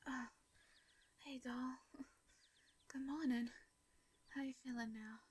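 A woman with a Southern accent voicing a shy, caring hermit farmer woman in a comforting audio roleplay. She speaks softly, stammers and laughs lightly. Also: high-pitched, fairly even intonation, slow pace, breathy edge. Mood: warm.

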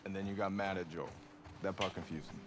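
A man's voice: deep voice, steady tone, fast, over loud music, natural voice. An actor playing an easygoing young American man who teases his friend in a dry, laid-back way in a video game. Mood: dry, teasing. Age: young adult. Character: easygoing young man, teasing friend in a post-apocalyptic settlement. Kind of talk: naturalistic video game dialogue. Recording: game ambient noise behind dialogue.

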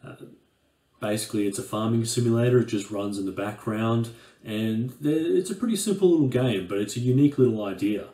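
A man in his thirties talks through a game in a relaxed, chatty way, often restarting his sentences. His voice sits a bit low. The small room around him gives a noticeable echo. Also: a little fast. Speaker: game reviewer. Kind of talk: casual game review walkthrough. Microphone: desk microphone on stand.

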